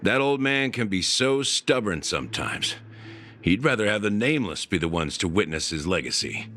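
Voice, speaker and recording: A man's voice: lowish voice, animated, normal speed, sharp tone, a little hiss or hum. A man playing a wry, offhand, worldly companion in a video game. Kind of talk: video game dialogue acting. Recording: music behind.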